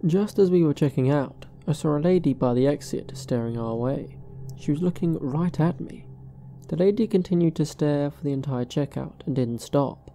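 Female horror narrator, deeply mellow timbre, measured scripted read. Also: a little hiss or hum. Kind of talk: scripted first-person narration.

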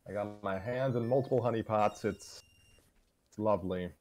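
A man co-hosting a show in casual, unscripted chat, talking in a halting, self-deprecating way. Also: on the low side, a little mellow. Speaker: co-host of a fan fiction reading show.

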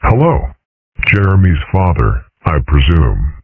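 Cartoon dragon father, male actor: dignified, understated, polite, with a bottomless, rough-edged voice and dry close recording. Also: sits low, sing-song, dry recording, phone-quality sound, exaggerated voice. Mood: dry, courteous. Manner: formal, measured, understated. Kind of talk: comic strip dub.

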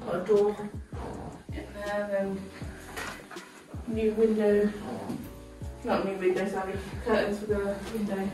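A woman's voice: medium pitch, completely flat delivery, slow, long pauses. A woman in her thirties giving a casual walk-and-talk house tour as a vlogger, recorded indoors in empty rooms on a camera several metres away. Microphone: camera held by another person, several metres away. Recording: echoey empty rooms, distant mic, background music. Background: music.

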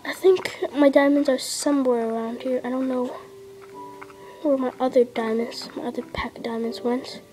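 A woman's voice: high voice, little pitch movement, normal speed, warm tone, soft background music, rough recording. A young girl casually narrating her mobile gameplay indoors, rambling with lots of fillers and dropping into half-whispers. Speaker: gameplay vlogger. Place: indoors at a table. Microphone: device camera filming a handheld screen.

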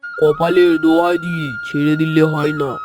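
Man as nagging village neighbour for cartoon: highish, even delivery, music behind. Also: moderate pace, natural voice. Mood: admonishing. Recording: clear flute music behind the voice.

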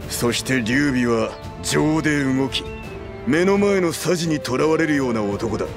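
Male game role: gruff middle-aged war general, blunt, medium pitch, score playing behind. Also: animated, unhurried, over music. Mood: stern, insistent. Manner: blunt, firm, rough masculine speech. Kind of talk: Japanese video game cutscene acting.

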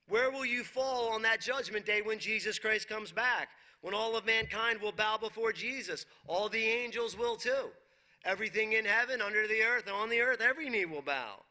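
Bright, high-voiced preaching man. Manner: emphatic preaching with rhetorical questions, reads scripture aloud. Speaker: pastor. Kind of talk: sermon. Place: church stage, dark brick wall behind.

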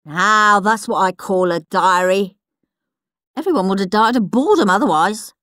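A woman's voice: mid-range voice, moderate pace, a bit bright, dry recording, clean studio sound, lightly acted. A woman voicing a smug, mischievous, self-satisfied schoolboy in a children's audiobook.

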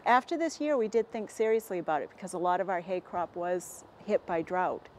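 An American woman in her forties, a dairy farmer answering interview questions in a plainspoken, halting and measured way. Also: on the low side, darkish tone, slight background noise. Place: inside a barn with hay bales. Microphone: lapel mic.

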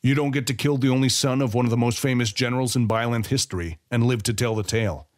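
A man voicing a cruel, smug avenger in a fantasy audiobook, deliberate and taunting. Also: mid-range voice, fairly even intonation, a little mellow, dry recording, studio quality, close to an everyday voice. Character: cruel, mocking male avenger with a knife, coolly vengeful.

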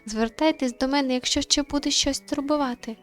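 A woman's voice: high voice, steady tone, brisk, soft background music, pitched above the performer's own voice, lightly acted. A woman voicing a composed, courteous female spirit medium who speaks reassuringly in a visual novel. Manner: polite, reassuring. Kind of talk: visual novel read-aloud.

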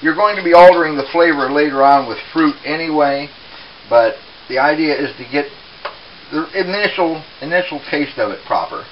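Higher-pitched man giving unhurried cooking instructions. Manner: unhurried, explanatory. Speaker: home cook presenter. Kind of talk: casual cooking demonstration. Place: small home kitchen, indoors. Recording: dull, hissy home camera audio.

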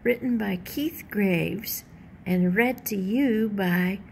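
A woman reading a children's picture book aloud in an animated, deliberate storytelling manner. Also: medium pitch, gravelly, slight background noise. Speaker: storybook reader.

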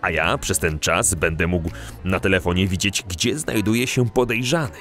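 An actor playing a genial, seasoned older animal police officer in a children's audio drama. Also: male voice, low-pitched, moderate pace, a bit bright, soft background music. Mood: admiring, matter-of-fact.

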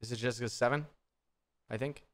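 Young guy doing a live reaction, chatty, thinking out loud, close desk mic. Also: mid-range voice, fairly even intonation, brisk. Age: young adult. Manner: informal, musing aloud. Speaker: streamer reacting to a horror video. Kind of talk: casual live reaction and reading aloud. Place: small indoor room at a desk. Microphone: desk microphone close to the mouth.

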